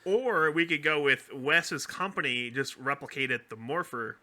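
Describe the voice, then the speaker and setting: Bright, forceful man in excited fan chat. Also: fairly high pitch, unhurried. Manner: animated, geeky, self-correcting explainer. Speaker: podcast co-host. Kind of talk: casual fan chat and theorizing.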